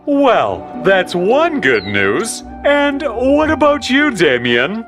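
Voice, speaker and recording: A stately, courteous king from a fairy tale, acted out by a woman. The delivery is theatrical and unhurried, with wildly varied intonation. Faint music plays underneath. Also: a little high, huge pitch swings, exaggerated voice. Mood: measured, regal. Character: male king, courteous and easily impressed.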